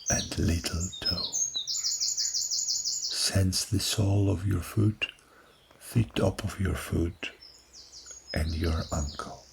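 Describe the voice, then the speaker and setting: A man guiding a yoga nidra body scan in a soft, calm voice with long pauses. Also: sits low, a little hiss or hum. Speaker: meditation guide. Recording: birdsong clearly behind the voice. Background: recorded birdsong from woods.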